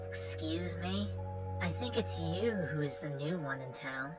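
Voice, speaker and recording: A man voicing an angsty punk goth teenage girl with a flat, bored, dismissive delivery. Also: a little high, slow, over music, voice pushed up, low-quality recording.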